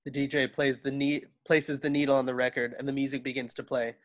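A man's voice: medium pitch, flat delivery, fairly quick. A man narrating stage directions from a script at a table read over a video call.

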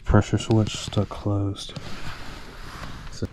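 An American man in his thirties talking casually and haltingly as he vlogs his work as a technician. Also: fairly low pitch, monotone. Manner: laid-back, halting, thinking aloud. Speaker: HVAC technician and vlogger. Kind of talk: casual work vlog narration. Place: attic beside a furnace, later inside a parked work van. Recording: noisy, with loud equipment or vehicle hum.

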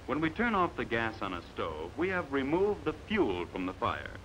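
An American man narrating a scripted 1950s training film in a measured, authoritative, emphatic manner, on a vintage soundtrack. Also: mid-range voice, huge pitch swings, a bit bright, slight background noise, rough recording.

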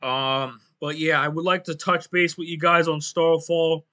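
A young man hosting his own podcast from a small home room on a headset, rambling casually, his voice going a little hoarse. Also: a little high, little pitch movement, measured pace, sharp tone. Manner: rambling, loses his train of thought. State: voice going hoarse, clearing throat. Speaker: podcast host and game developer. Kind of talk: casual solo podcast talk.

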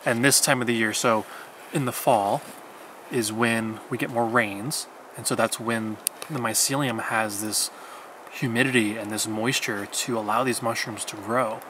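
A man in his thirties explains things patiently, outdoors in a wet forest. His voice sits at an even middle pitch. The recording carries clear outdoor background noise. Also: measured pace. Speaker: forager guide.

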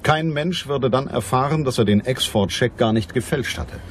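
A man playing a blunt, unyielding private detective in a crime radio play, with a dry, deliberate delivery. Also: medium pitch, slight background noise, natural voice. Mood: stern, accusing. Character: hard-boiled, stocky male private detective, blunt and unyielding.

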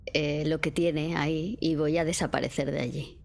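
A woman's voice: deep voice, fairly even intonation, moderate pace, gravelly. A woman playing a tabletop roleplaying game, casually describing what her character is about to do.

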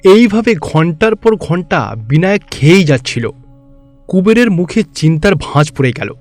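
High-pitched male storyteller. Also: big pitch swings, moderate pace, darkish tone, soft background music. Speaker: narrator. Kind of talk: scripted fairy-tale narration.

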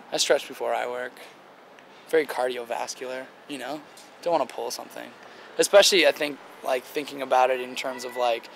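A man in his late twenties, a band singer, answering interview questions in a relaxed, chatty way, his voice a little rough and strained. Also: medium pitch, slight background noise. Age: 20s. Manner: relaxed, chatty, many "like" fillers. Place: outdoor table by a river. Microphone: handheld interviewer mic near the table.

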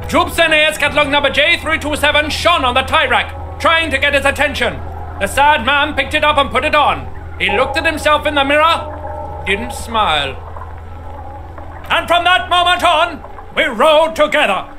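An actor voicing a talking necktie, a manic boozy best friend with tender melancholy, in a strained video game voice. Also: male voice, very high-pitched. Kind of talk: scripted video game character acting.

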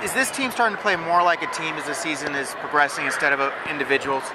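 Quick, high-voiced male sports reporter. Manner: halting questions with repeated starts. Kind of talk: postgame interview. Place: indoor arena floor, crowd around. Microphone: lapel mic. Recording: noisy arena with crowd.

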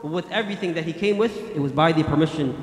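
A man in his thirties giving a Friday sermon into a standing mic, explaining in English and chanting Quranic Arabic verses quickly. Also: fairly high pitch. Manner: emphatic, repetitive preaching with gestures. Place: large mosque prayer hall. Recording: echoey mosque hall with long reverb.

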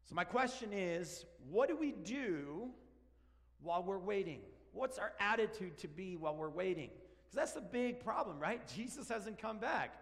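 Spanish-native guy in his 40s preaching, kinda high voice, big church echo. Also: moderate pace, vocal fry. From Argentina. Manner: animated and joking, walks around stage. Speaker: pastor. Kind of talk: sermon. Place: large church sanctuary. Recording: long church hall reverb.

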